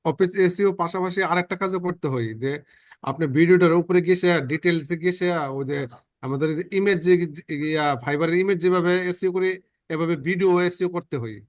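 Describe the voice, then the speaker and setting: A man's voice: sits high, fairly quick, no echo, clean studio sound. A man giving casual instructions as a participant in an online class.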